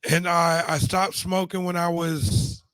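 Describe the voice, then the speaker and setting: A man's voice: high voice, flat delivery, measured pace, fairly bright tone, clean tone, no echo. A middle-aged man losing his voice, chatting casually as a show host and rambling through his stories with many restarts. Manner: rambling, frequent restarts and fillers. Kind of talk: casual chat.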